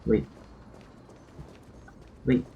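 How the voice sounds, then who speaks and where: A man giving casual live-stream game commentary, thinking aloud in a halting, rambling way. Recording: dull, lo-fi stream microphone.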